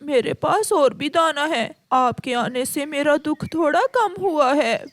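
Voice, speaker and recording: A woman's voice: sits high, takes it slowly, no echo. A woman voicing a grieving, polite young male pigeon in a cartoon, earnest and plaintive. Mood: grateful, subdued.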